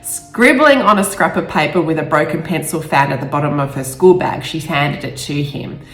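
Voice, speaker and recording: A woman author speaking in a halting, emotional way, stumbling over words now and then. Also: low-pitched, fairly bright tone. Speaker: author reading own novel aloud. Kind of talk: amateur storybook reading with personal asides. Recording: roomy echo with faint music.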